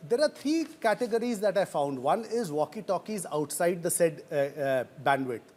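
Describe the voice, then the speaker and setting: A man with an Indian accent explaining a story as a news reporter in a hesitant, halting way. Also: fairly high pitch, animated, a little mellow. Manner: hesitant, halting delivery with frequent pauses.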